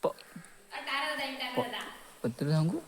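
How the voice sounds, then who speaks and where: Young guy vlogging, excitable, repeats himself, echoey sound w lots of kids chattering behind. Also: extremely slow, crowd noise. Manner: excitable, repeats words and names. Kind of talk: casual chat and play-by-play of street games. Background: group of boys playing.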